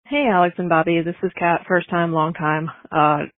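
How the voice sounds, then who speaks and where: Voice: medium pitch, little pitch movement, moderate pace, no echo. A caller leaving a casual, wry voicemail over a tinny phone line.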